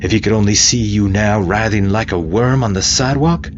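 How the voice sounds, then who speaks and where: A man voicing a relentless, taunting military drill sergeant in a sci-fi audio story, loud and full of contempt. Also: on the low side, brisk, muffled recording, noisy background, pitched above the performer's own voice, close to an everyday voice. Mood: shouting contempt. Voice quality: loud, forceful shouting. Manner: taunting, browbeating.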